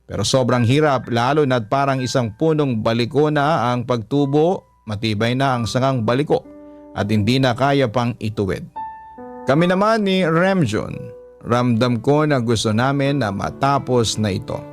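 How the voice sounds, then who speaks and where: Mid-pitched man narrating over soft guitar. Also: moderate pace. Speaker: radio drama host and narrator. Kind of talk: scripted first-person story narration.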